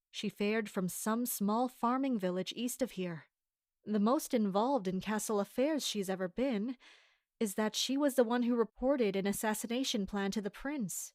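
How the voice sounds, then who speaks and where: A woman's voice: mid-range voice, darkish tone, no echo, studio quality, close to an everyday voice. A woman playing a polite, observant royal maid in an audio drama, speaking articulately. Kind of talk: audio drama acting with inner-monologue narration.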